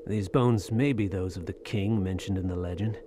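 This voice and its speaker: An actor playing a bold, greedy Western soldier of fortune in an audio drama, speaking in halting, theatrical phrases. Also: male voice, low-pitched, background noise, natural voice. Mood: dismissive.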